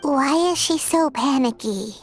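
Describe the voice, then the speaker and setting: A woman voicing a small, cheeky, curious fairy companion in a video game, squeaky and cutesy. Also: unhurried, faint music behind. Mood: cheerful, curious. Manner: cutesy, exclamatory, refers to self in third person.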